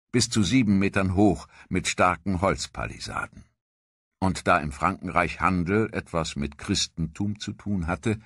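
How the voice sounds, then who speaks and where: Male documentary narrator, very low and husky, for scripted history narration. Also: fairly bright tone, no room sound, studio quality.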